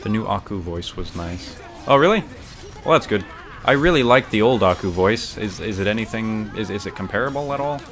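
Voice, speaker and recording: A man's voice: lowish voice, lively intonation, brisk, music in the background. A young man giving casual gameplay commentary, rambling thoughtfully and often correcting himself mid-sentence. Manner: rambling, self-correcting, bookish. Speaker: streamer.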